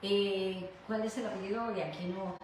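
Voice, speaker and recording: A Colombian woman hosts a casual faith talk in an effusive, rambling style. Her mid-pitched voice sits back from the microphone in a very reverberant room, with faint noise. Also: steady tone, moderate pace, a little mellow. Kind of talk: casual conversational hosting. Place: echoey room in her home. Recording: very echoey room, distant mic, faint noise.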